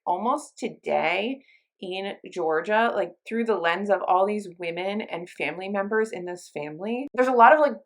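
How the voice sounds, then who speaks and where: A woman hosting a book review channel, chatting casually to camera in a gushing, emphatic, informal way with mild slang. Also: mid-range voice.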